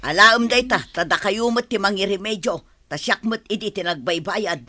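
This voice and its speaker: A woman's voice: medium pitch, moderate pace, sharp tone, a little hiss or hum, lightly acted. A woman playing a fretful, scolding older mother with an Ilocano accent in a radio drama. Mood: grumbling.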